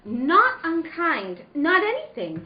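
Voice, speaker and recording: Woman acting a hushed, haunted dreamer in a roomy space. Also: very high-pitched, wildly swooping pitch, takes it slowly, muffled recording, full character voice. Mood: uneasy, dreamy. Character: frightened woman haunted by a recurring dream, wistful. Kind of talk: radio drama monologue.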